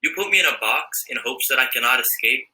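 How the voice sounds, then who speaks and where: A teenage boy reciting a spoken word poem in a steady, declamatory way. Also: mid-range voice, quick pace. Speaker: student poet. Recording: echoey room.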